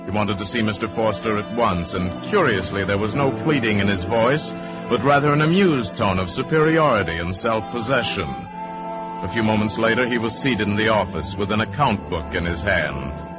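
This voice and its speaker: A man playing the wry, measured, theatrical narrator of a radio crime drama. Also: fairly low pitch, animated, lightly acted. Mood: wry, ominous. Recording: dull radio recording with music.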